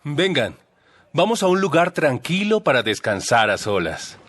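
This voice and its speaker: A man playing Jesus as a calm, gentle teacher with authority in a dramatized audio Bible. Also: a little high, a little slow, heavily acted.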